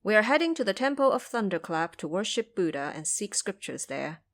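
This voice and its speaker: A gentle pilgrim monk read by a woman, humble and devout. The delivery is courteous and measured, often dropping lower and quieter, as in an audio drama of an old legend. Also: very animated. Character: polite, humble, devout male travelling monk.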